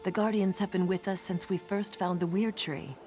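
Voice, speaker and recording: Fantasy game priestess, played by a woman: solemn, earnest, worried, mid-pitched, with music clearly behind her. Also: fairly even intonation, moderate pace, close to an everyday voice. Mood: worried, urgent. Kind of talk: fantasy video game voice acting.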